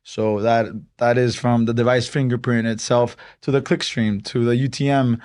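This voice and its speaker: Young guy from honduras on a podcast, medium pitch, talks it through step by step with lots of ums and likes. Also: normal speed. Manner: relaxed, explains step by step with many "um" and "like". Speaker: startup co-founder, guest. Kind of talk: casual podcast interview.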